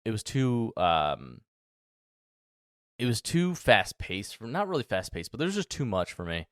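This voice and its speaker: A man's voice: on the low side, wildly swooping pitch, a little slow, no room sound, clean studio sound. A man of thirty hosting a solo podcast, talking casually and rambling with many fillers and restarts. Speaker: podcast host.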